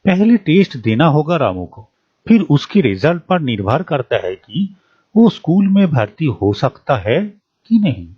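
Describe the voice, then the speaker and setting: A man playing a proud, formal school principal in an animated story, speaking emphatically. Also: on the high side, a little slow, slightly rough, dry recording, exaggerated voice. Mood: firm, formal. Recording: dull, dry lo-fi studio track.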